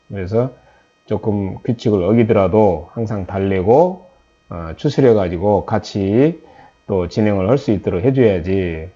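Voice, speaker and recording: Low, dark-voiced Korean man lecturing. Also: slightly rough, muffled recording. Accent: Jeolla Korean, mostly softened. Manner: conversational, with many "eo" fillers and restarts. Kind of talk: recorded lecture to trainees.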